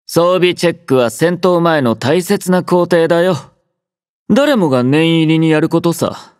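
A man voicing an aloof, laid-back young soldier in an anime-style game, quietly caring, with dry, understated teasing. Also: fairly high pitch, animated, unhurried, no room sound. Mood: dry, mildly protesting. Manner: languid, dryly teasing, understated. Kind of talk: Japanese anime-style game character voice lines.

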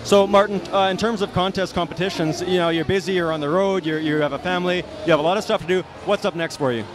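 A man's voice: high-pitched, steady tone, fast. A young man hosting an interview at an expo booth, chatty and long-winded, repeating himself while holding a handheld mic. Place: busy expo hall booth. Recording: noisy trade show floor.

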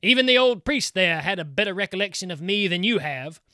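Sly teasing water spirit guy, a man putting him on, bright sharp voice. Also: sits high, animated, fairly quick, dry recording, studio quality. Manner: teasing, grandly self-important.

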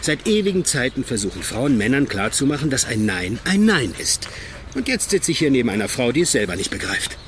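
Actor as a dry, stubborn agent amid background noise. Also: male voice, medium pitch, very animated, brisk, close to an everyday voice. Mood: dry, resigned. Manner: dry, sardonic, blunt. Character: stubborn, weary male ex-agent, dry and impatient. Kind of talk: German radio crime drama acting.

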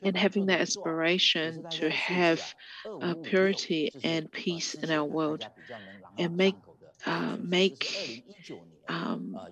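Slow halting woman interpreting live. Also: lowish voice, fairly bright tone, highs cut off. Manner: halting, many ums and uhs, restarts. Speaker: simultaneous interpreter. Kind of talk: live English interpretation of a talk. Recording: second voice speaking faintly underneath.

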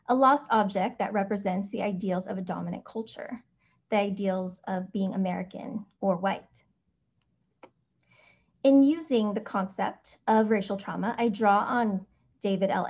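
A woman in her thirties who grew up in the Philippines, with Tagalog as her first language, reading a prepared conference paper in a measured, deliberate way over a video call from home. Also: medium pitch, darkish tone, slightly rough. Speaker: academic speaker. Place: home room, indoors. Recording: slightly dull video call audio.

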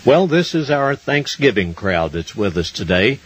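An older man with an American accent and a husky voice, announcing a scripted folksy stage show as host. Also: mid-range voice, sing-song, moderate pace. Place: wooden stage in a barn-style theatre. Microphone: stand microphone.

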